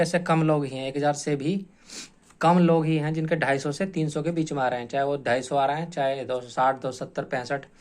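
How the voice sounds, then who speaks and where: A man explaining like an exam coach, informal and repetitive, stressing points and often adding check-in tag phrases. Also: fairly high pitch. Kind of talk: informal explanatory lecture to students.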